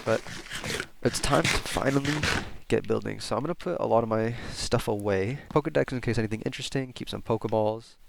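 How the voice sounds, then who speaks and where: A man's voice: mid-range voice, a little fast, gravelly, noisy background. A congested young man with a cold, chatting casually over his gameplay and rambling with fillers and restarts. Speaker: gaming YouTuber. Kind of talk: casual Let's Play commentary.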